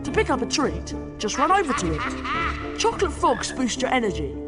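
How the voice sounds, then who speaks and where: A woman's voice: high-pitched, very animated, normal speed, over loud music. A woman voicing a cheerful, mischievous schoolboy wizard who gives upbeat instructions as video game tutorial dialogue. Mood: helpful, mischievous.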